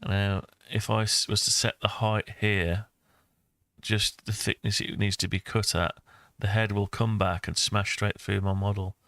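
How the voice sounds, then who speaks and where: A man's voice: on the low side, level tone, unhurried, creaky, a bit bright, dry recording. A man in his sixties giving a casual screen-recorded tutorial, rambling and thinking aloud as he goes. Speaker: maker and video host.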